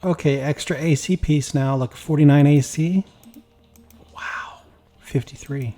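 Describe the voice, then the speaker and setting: A man with a slightly raspy, weak voice, tired after work, chatting casually while playing a game. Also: on the high side, measured pace, soft background music. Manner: rambling, relaxed, talks while playing. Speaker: gaming YouTuber. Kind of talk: casual gameplay commentary.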